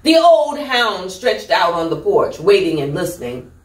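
A middle-aged woman hosting storytime, reading a children's picture book with deliberate, theatrical emphasis. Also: on the low side, sing-song. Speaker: storytime host. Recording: echoey room, distant microphone.

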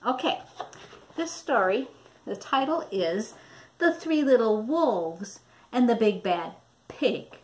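A woman in her sixties reading a storybook aloud to children in an animated, deliberate, teacherly manner. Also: on the high side. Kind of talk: expressive read-aloud to children. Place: small indoor room.

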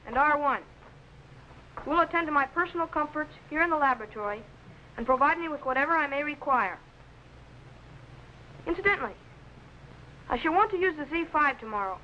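50s tv snooty teen boy space sidekick, high voice, earnest, bit of hiss. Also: expressive, a little fast, long pauses, sharp tone, full character voice, low-quality recording. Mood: pompous. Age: young teenager, boy. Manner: pompous, earnest, carefully enunciated. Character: self-important teenage boy assistant to space explorer. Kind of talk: 1950s children's TV sci-fi acting. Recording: vintage film soundtrack, faint noise.

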